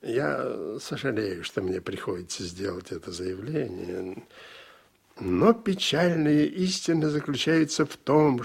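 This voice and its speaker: An actor playing an old politician making a grave, emphatic speech in a theatrical radio play style. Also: male voice, fairly high pitch, vocal fry, heavily acted. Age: older. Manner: rhetorical, emphatic, deliberate with pauses. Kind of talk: Russian radio play oratory.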